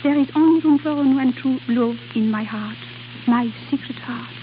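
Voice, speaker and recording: A woman's voice: high voice, moderate pace, natural voice. An actress playing a tender, romantic young Frenchwoman with a French accent in an old radio drama. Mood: tender, wistful. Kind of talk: 1940s-50s radio drama acting. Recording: scratchy old radio broadcast, tinny, hissy.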